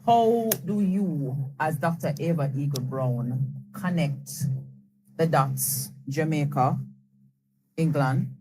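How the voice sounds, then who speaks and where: A woman's voice: low voice, slow pace, music in the background, low-quality recording. A woman interviewer asking direct, probing questions and summing up her guest's answers.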